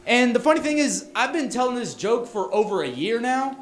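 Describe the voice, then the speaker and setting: Texan male comic, high voice, punchy and loud, stumbles over restarts, echoey room. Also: lively intonation, fairly quick. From Texas, USA. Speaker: stand-up comic. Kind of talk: stand-up set. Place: small bar venue.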